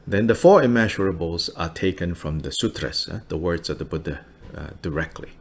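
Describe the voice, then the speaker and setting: Lively, gravelly-voiced man teaching dharma. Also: low-pitched, brisk. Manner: relaxed, laughs often, many "uh" fillers. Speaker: Buddhist teacher. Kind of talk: dharma teaching over video call.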